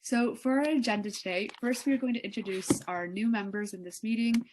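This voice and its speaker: Teenage woman, meeting leader, casual filler-heavy talk, video call mic, mid-pitched voice. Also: brisk, background noise.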